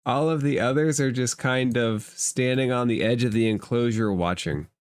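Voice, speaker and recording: A man's voice: mid-range voice, moderate pace, clear, resonant tone, no room sound, clean studio sound. A man acting as game master, improvising narration for a tabletop roleplaying game.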